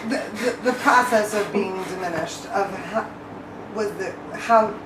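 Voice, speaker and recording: A woman's voice: mid-range voice, measured pace. A woman interviewer asking an unscripted question hesitantly, searching for the right words. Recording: echoey, distant mic, noisy background.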